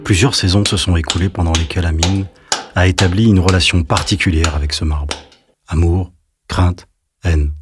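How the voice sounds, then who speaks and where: A man narrating a sombre audio drama as a storyteller, measured and solemn. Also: sits low, noisy background, natural voice. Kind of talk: scripted literary narration. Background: music.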